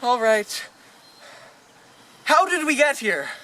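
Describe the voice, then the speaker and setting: Woman doing a confused sarcastic earthling stuck in space, bright edgy voice swooping about, radio play. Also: high-pitched, very animated, slow pace, heavily acted. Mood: sarcastic, disbelieving. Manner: incredulous, dryly sarcastic. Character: bewildered, sarcastic stranded Earthling, a reluctant space traveller. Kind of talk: amateur radio play acting.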